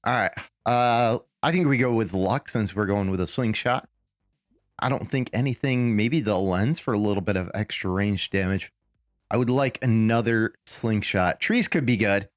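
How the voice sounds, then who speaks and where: A man with a raspy voice, still getting over a lost voice, giving casual live commentary on a game he is playing and thinking aloud as he goes. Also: on the low side, normal speed, no echo, studio quality, soft background music. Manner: rambling, thinking aloud with fillers and restarts.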